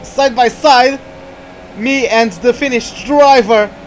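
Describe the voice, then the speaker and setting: High-voiced man live-streaming a race. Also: slow pace, slight background noise, poor audio. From Portugal. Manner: chatty, reads out viewer names, reacts to the race. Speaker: gaming streamer. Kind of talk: live stream commentary while racing.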